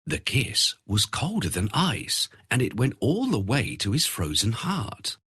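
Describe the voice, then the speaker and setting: Husky, very animated male storyteller. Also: mid-range voice, measured pace. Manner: expressive storytelling. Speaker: narrator. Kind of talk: scripted fairy-tale narration.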